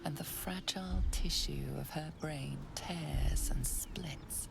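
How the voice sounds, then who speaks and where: A woman performing as the narrator of a dark fantasy game, speaking in a deliberate, hushed, ominous manner. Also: deep voice, gravelly, noisy background, exaggerated voice. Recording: music behind the voice.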